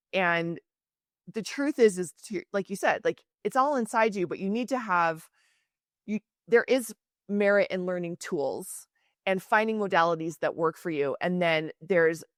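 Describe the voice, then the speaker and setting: A woman's voice: lowish voice, dry recording, studio quality. A woman hosting a podcast interview, talking in an earnest, emphatic and reflective way. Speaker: podcast host. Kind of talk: conversational interview.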